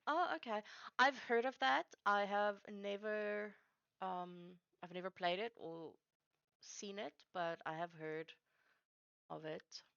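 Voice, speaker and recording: A woman whose first language is Afrikaans chats casually as she works on a livestream, haltingly searching for words. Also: medium pitch, creaky, fairly bright tone, no room sound, dull audio. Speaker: streamer building game maps live. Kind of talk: casual chat while working.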